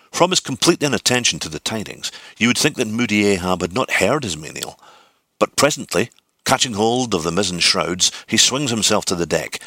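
Deep bright-voiced man narrating a classic novel. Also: dry recording. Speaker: audiobook reader. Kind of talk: scripted literary narration.

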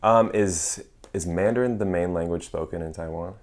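A man hosting a travel podcast, reading a scripted intro and then interviewing a guest in a measured way. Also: low voice, a little mellow, slight background noise. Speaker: podcast host.

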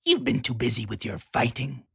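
A woman as a low, husky mystic mentor. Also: very animated, normal speed, full character voice. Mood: knowing, hushed.

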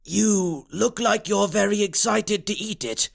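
A tiny, put-upon magic schoolboy who grumbles and whines about everything, as a man narrator puts him on. His voice is pitched high and sounds childlike. The storybook reading gives him a sulky edge. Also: unhurried, creaky, heavily acted. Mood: whiny. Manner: whiny, grumbling.

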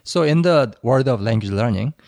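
A man's voice: mid-range voice, animated, moderate pace, a little mellow, dry recording. A man co-hosting a podcast, chiming in agreeably and asking short clarifying questions in casual chat. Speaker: podcast co-host.

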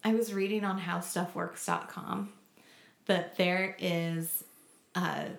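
Creaky-voiced woman in a roomy space. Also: on the low side. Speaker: podcast co-host. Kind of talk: casual chat. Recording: roomy, echoing sound.

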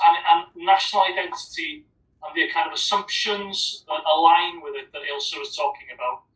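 A Welsh man answers on a discussion panel with a bright, forceful voice. He rambles with restarts and fillers. He is recorded in an echoey room some way from the mic. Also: a little high. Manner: discursive, many restarts and "you know". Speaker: academic panelist. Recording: roomy, distant mic.

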